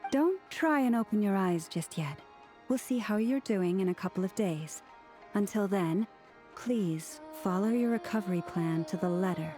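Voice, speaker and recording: A woman playing a poised, caring young healer in a video game, speaking in a measured, articulate, formal way. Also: mid-range voice, animated, dark tone, soft background music, close to an everyday voice. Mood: composed concern.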